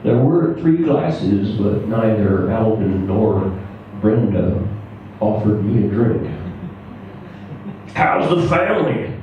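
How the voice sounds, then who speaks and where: A man giving a live reading of a scripted first-person story, measured and deadpan with deliberate pauses. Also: fairly low pitch, muffled recording. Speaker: story reader. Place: indoor live reading before an audience. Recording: very echoey room, distant mic, faint noise.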